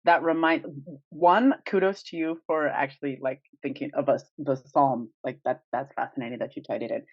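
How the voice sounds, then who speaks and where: A woman co-hosting a podcast in casual chat, with an animated, exclamatory way of talking. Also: a bass voice, moderate pace, no room sound, muffled recording. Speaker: podcast co-host.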